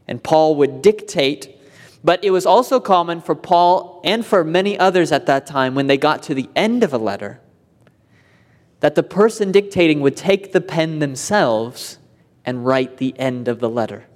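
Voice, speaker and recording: Earnest preacher in a reverberant hall. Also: male voice, a little high, lively intonation, moderate pace. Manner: earnest, explanatory, rising emphasis on key points. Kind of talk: expository sermon.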